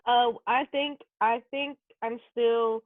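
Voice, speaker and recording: A woman's voice: fairly high pitch, fairly even intonation, takes it slowly, no echo. A woman in her twenties in casual podcast chat, blunt and joking, swearing casually. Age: 20s. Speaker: podcast guest. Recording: tinny phone-like sound.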